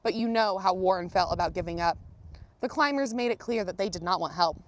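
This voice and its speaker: A woman reading a children's picture book aloud, telling the story expressively with dramatic emphasis and pauses. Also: fairly low pitch. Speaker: storybook reader, museum manager.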